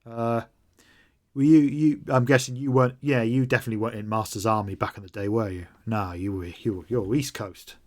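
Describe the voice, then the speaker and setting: A man voicing a gruff male mutant gun runner who talks bluntly, swears a lot and rambles in a tabletop game. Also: fairly low pitch, fairly even intonation, a little fast, a little mellow, close to an everyday voice. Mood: chatty.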